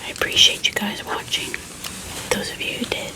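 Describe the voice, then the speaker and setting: A woman whispering softly and very quietly as she chats through an ASMR video at home. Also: poor audio. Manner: slow, unhurried chatty whispering. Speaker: ASMR creator. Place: home room. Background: crinkling of paper and book stickers.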